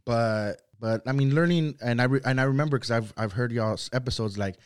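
A man's voice: mid-range voice, a little fast, clean tone. A young man from Mexico whose first language is Spanish, a guest talking casually on a podcast with lots of "you know" and "like". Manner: loose, rambling, full of "you know" and "like". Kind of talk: casual conversational interview.

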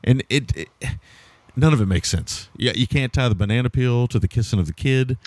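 A man's voice: mid-range voice, lively intonation, brisk, darkish tone, no echo. A man co-hosting a podcast, reviewing a film in casual, rambling, amused talk. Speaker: podcast co-host. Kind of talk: casual movie review chat.

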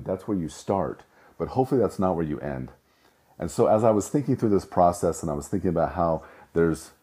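A middle-aged man with a low voice, talking casually and rambling with restarts. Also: warm tone. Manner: rambling, self-correcting storyteller. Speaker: host. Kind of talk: casual monologue.

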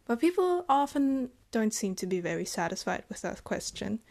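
A young woman from Germany, speaking in a reflective personal vlog, thoughtful and hesitant, with halting phrasing. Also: on the high side, very animated, darkish tone. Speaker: vlogger.

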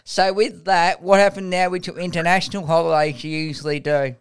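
A man's voice: high-pitched, flat delivery, a little fast, smooth. A man hosting a podcast, asking short, friendly questions and calling his guest "mate". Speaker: podcast host. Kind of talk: casual interview.

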